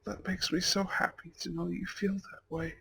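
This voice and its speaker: A man playing a devoted young mafia heir and husband in a romantic ASMR audio roleplay, with a breathy, intimate delivery. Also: sits high, little pitch movement, moderate pace, bright, forceful tone, slight background noise, heavily acted. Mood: tender, loving. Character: devoted, tender mafia heir, newlywed husband and anxious father-to-be.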